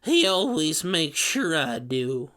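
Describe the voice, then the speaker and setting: A man voicing a sinister old backwoods captor in a horror story, with a slow, drawling, menacing mumble. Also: a little high, very animated, pushed and bright, voice pushed up, full character voice. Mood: grim. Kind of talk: storybook character voice in horror narration.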